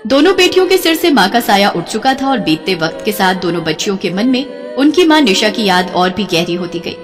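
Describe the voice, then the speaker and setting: A woman narrating a moral cartoon story in an expressive, scripted storytelling style. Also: mid-range voice, brisk. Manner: expressive, melodic. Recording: reverberant with soft background music.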